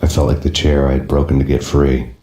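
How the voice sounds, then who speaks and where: Brooding vengeful noir narrator, rock-bottom monotone, world-weary, game voice-over. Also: male voice, a bass voice, a little fast. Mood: grim, wry. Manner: deadpan, weary. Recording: roomy reverb, music behind.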